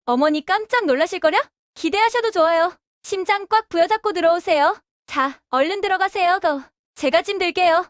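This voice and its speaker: Woman acting a very high-pitched, brash daughter-in-law. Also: brisk, few pauses, dry recording, clean studio sound. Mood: chirpy, proud. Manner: breezy, boastful, dismissive. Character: brash, chirpy, self-satisfied daughter-in-law, oblivious and tactless. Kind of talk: Korean family audio drama acting.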